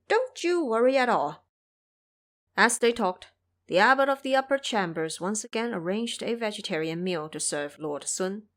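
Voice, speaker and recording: A woman's voice: mid-range voice, fairly bright tone. A woman narrating a scripted audio drama as a dramatic storyteller.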